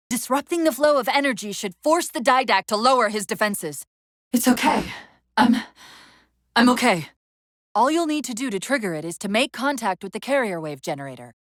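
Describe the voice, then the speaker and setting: An actress playing a female military AI companion in a video game, urgent and witty but fraying under strain, with crisp tactical delivery and dry sarcasm. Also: on the high side, a bit bright, no echo. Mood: anguished, pleading. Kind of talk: dramatic game voice acting.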